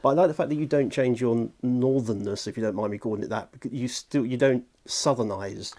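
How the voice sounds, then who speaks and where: Mellow-voiced man presenting a podcast. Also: medium pitch, brisk. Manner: enthusiastic, bantering. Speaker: podcast presenter interviewing a guest. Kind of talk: chatty, jokey interview. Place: audio studio. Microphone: lapel mic, later ambient room mic.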